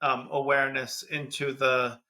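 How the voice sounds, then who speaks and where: A man's voice: mid-range voice, level tone, normal speed, pushed and bright. A man in his fifties hosting a podcast interview in a playful, teasing way, laughing between his phrases. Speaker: podcast host and yoga teacher.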